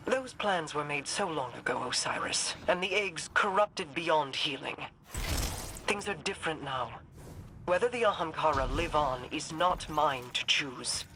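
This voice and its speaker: Casting: a cool, calculating queen for a sci-fi fantasy game, formal and measured, over clear background noise. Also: low-pitched, bright, forceful tone, exaggerated voice. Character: regal, guarded, calculating queen.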